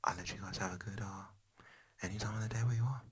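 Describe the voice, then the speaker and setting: A man's voice: fairly low pitch, steady tone, quick pace, husky. A young man whispering a rambling ASMR chat to his viewers in a put-on British accent, often losing his train of thought. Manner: soft whispering, rambling and easily sidetracked. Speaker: ASMR creator.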